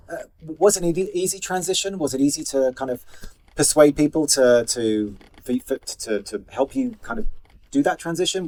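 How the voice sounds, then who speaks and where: Man, interview host, medium pitch, conversational questioning, informal phrasing with restarts. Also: darkish tone.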